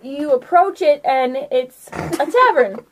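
A woman narrating a casual tabletop game as game master, halting and informal as she goes. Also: sits high, expressive, slight background noise, low-quality recording.

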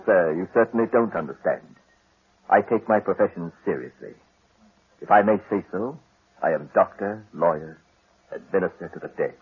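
An actor playing a pompous, unctuous undertaker, solemn and formal, in 1940s radio style. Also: male voice, deep voice, moderate pace, slightly rough, narrow, tinny audio. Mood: affronted.

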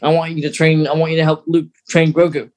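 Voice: medium pitch, flat delivery, fast, a little mellow. A podcast host talking through fan news in a casual, conversational chat.

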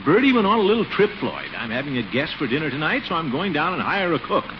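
Pompous middle-aged official, blustery, exasperated, animated pitch, old radio sitcom, noisy recording. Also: male voice, fast. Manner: pompous, blustering, chuckling, exclamatory. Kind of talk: 1940s radio sitcom acting. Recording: noisy, muffled 1940s radio broadcast recording.

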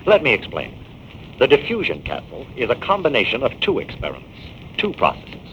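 An actor playing an earnest scientist hero who explains firmly, in 1940s radio acting style. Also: male voice, mid-range voice, huge pitch swings, a little fast. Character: male scientist hero, firm and fatherly. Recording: dull old radio transcription with hiss.

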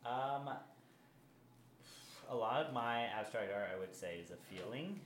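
A man talking casually as an interviewed artist, in a measured, thoughtful way. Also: mid-range voice, lively intonation, a bit bright. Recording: roomy, faint background noise.

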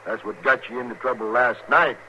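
Mouthy american outlaw prisoner, folksy and needling, sharp voice, muffled old radio. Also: male voice, medium pitch, steady tone, brisk. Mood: mocking. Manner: folksy, mocking, talkative. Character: cocky, needling captured frontier outlaw. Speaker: radio drama actor. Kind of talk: 1950s radio western acting. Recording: dull, noisy old radio recording.

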